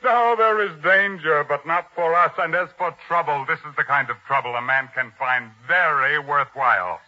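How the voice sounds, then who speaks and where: A man's voice: fairly high pitch, moderate pace, pushed and bright. An actor playing an ambitious army captain in the service of a king, in a 1950s radio drama. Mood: brisk, commanding. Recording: dull old radio recording.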